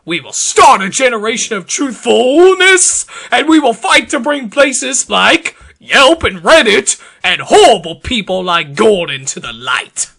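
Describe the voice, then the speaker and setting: A man giving an over-the-top dramatic reading, theatrical, emphatic and deliberate. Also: very high-pitched, sing-song, vocal fry, bright, forceful tone. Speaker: performer reading social media posts aloud.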